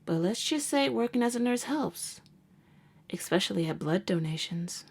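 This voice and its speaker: A woman playing a jaded, wry vampire hunter and nurse who is secretly caring, in an intimate ASMR roleplay that drifts into breathy murmurs. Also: mid-range voice, animated, moderate pace. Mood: wry, matter-of-fact.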